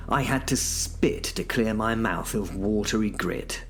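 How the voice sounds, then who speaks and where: A man's voice: on the low side, slow, a little hiss or hum. A man narrating a first-person horror audio drama in a wry, self-mocking way. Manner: wry, self-mocking, dry British humour. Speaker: narrator and lead character.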